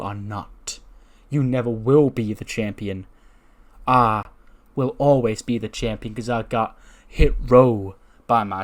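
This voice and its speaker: A cocky champion wrestler, put on by a man telling a story delivers a promo. He is boastful and taunting, landing each phrase slowly and with emphasis. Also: medium pitch, natural voice.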